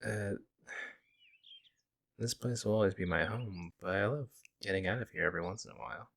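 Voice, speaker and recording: A man playing a friendly young werewolf, a lone wolf who talks casually and teases gently in an ASMR audio roleplay. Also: lowish voice, fairly quick, creaky. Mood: casual, musing. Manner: casual, hesitant musing, gently teasing. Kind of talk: ASMR audio roleplay, intimate conversational acting.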